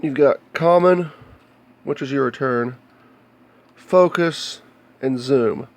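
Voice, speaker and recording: An American man talking casually and off the cuff as he shows electronics, explaining in a halting, plain-spoken way. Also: medium pitch. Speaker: hobbyist video maker showing electronics. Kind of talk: casual unscripted show-and-tell. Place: indoors, cluttered home workshop.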